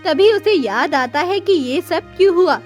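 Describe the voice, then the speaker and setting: Casting: female storybook narrator, high-pitched, scripted delivery. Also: animated, measured pace, soft background music.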